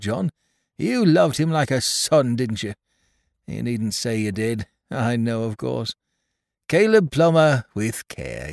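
A man's voice: mid-range voice, vocal fry, dry recording, studio quality, pitched above the performer's own voice. A man voicing a fussy, anxious little old toymaker in a read-aloud story. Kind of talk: storybook character voice.

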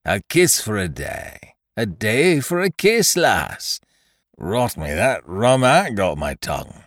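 A man's voice: low-pitched, big pitch swings, slow, vocal fry, fairly bright tone, no echo, clean studio sound, heavily acted. A man voicing a brutal, drunken, leering pirate captain with archaic sailor speech in an adventure audiobook. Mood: drunken jocularity. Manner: roaring, maudlin jocularity, archaic sailor speech.

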